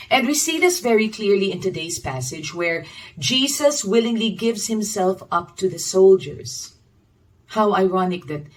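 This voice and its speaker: A woman reading a scripted devotional in a measured, reflective manner, with deliberate pauses. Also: on the low side, far from the mic, poor audio. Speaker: devotional reader.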